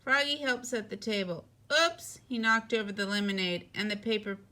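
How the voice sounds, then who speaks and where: A woman narrating a children's picture book expressively, with deliberate phrasing and emphatic sound words. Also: mid-range voice, pushed and bright. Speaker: teacher reading a picture book.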